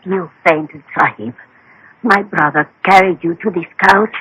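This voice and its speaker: A performer playing the protective, pleading sibling of a fortune teller in a radio drama, speaking formally and gently. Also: mid-range voice, a little slow, slightly rough, full character voice. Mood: protective, urgent. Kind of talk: 1970s-80s radio drama acting. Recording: noisy old radio broadcast with clicks.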